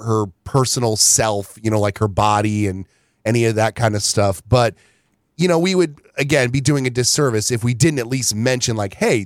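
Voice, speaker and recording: A man co-hosting a casual podcast chat while he has a cold, chatty and relaxed with lots of "you know.". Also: medium pitch, no room sound, clean studio sound. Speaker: podcast co-host.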